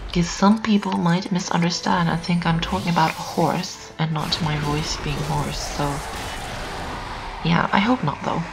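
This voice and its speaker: A teenage girl doing casual gameplay commentary, hoarse from just waking up, chatty and repetitive with lots of fillers. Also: fairly low pitch, poor audio. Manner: chatty, repeats herself, lots of "um" and "so". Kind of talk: casual let's-play commentary. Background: builders' noise outside.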